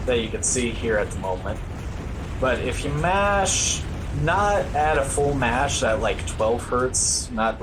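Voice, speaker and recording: A man's voice: medium pitch, low-quality recording. A man giving casual live speedrun commentary, explaining game mechanics in a hesitant, rambling way. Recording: game music behind the voice. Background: video game music.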